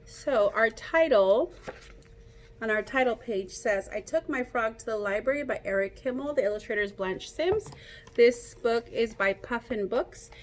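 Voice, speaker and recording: A woman reading a picture book aloud like a teacher, pausing to explain and ask young children questions. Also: on the high side, slight background noise. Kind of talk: interactive children's read-aloud.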